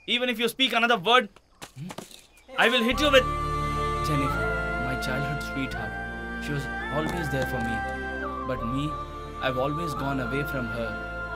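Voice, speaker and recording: A man's voice: medium pitch, close to an everyday voice, rough recording. An actor playing a headstrong, blunt, brooding young man in a dramatic film dub. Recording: loud music over dialogue.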